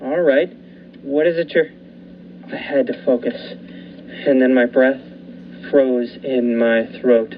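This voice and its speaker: A man playing a lighthouse keeper who narrates the story in a student radio play, measured and suspenseful. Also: mid-range voice, fairly even intonation, close to an everyday voice. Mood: uneasy. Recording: muffled with faint hiss.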